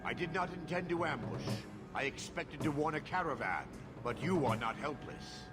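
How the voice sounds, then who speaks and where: A man's voice: on the high side, expressive, natural voice. Man playing stoic, formal giant mercenary. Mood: stern. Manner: formal, measured, blunt. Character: stoic horned giant mercenary, proud and blunt. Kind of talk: video game dialogue acting. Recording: game audio under loud music.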